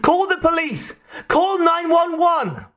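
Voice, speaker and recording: A man's voice: very high-pitched, big pitch swings, slow, fairly bright tone, pitched above the performer's own voice, exaggerated voice. A man voicing a terrified kidnapped young woman, screaming desperately in an audiobook. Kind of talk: audiobook character voice.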